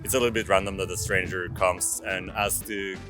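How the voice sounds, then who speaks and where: A man in his thirties from France, narrating a travel vlog in a casual, halting way. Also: sits low, flat delivery, music in the background. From France, moved to Norway at six. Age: 30s. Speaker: vlogger.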